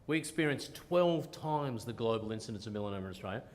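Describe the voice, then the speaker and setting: A man's voice: lowish voice, lively intonation, fast talker. A man interviewing a guest, asking questions in a jokey, conversational way. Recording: reverberant room.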